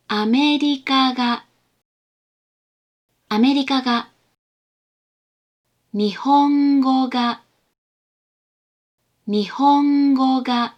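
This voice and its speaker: High-voiced woman reading slow pronunciation examples. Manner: deliberate, careful enunciation. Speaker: native-speaker example reader in a lesson. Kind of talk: isolated pronunciation examples, repeated.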